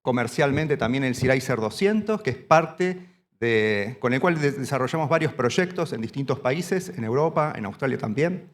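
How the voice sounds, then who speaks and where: Halting man giving a conference talk. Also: mid-range voice, lively intonation. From Argentina. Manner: halting, repeats words and restarts phrases; speaks standing up. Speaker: panel speaker. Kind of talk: conference presentation. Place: conference hall.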